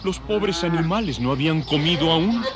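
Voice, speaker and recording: A man's voice: a little high, expressive, moderate pace. A man narrating a dramatized novel in a scripted storytelling style. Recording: farm animal noise behind.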